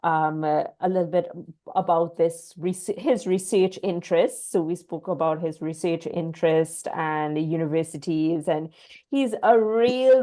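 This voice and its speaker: A woman's voice: on the low side, dark tone. A woman graduate student in a friendly manner with fillers, summarizing a conversation for her class. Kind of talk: class discussion report. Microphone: video call.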